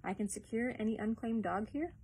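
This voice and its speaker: Woman reader as a formal young gentleman captain. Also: on the high side, a little fast, slightly rough, poor audio. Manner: formal, slightly hesitant.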